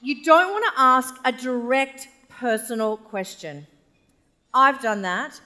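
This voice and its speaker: An Australian woman giving a chatty, animated keynote speech to a live audience on a large stage. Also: sits high, takes it slowly. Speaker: keynote speaker. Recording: reverberant event hall.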